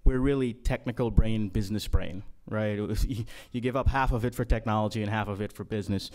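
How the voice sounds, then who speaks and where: Brief: male conference keynote speaker, lower voice, relaxed and joking, filler-heavy delivery. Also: quick pace. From Guyana. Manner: casual, joking, many ums and uhs. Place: conference room.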